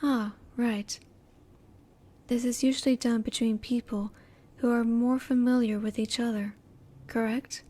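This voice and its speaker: A woman acts out a female alien in an intimate audio roleplay. Her voice sits slightly high yet is very soft-edged and relaxed. She is politely clinical and inquisitive, keeping her emotions in reserve as she speaks in measured, formal phrases. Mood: analytical, curious.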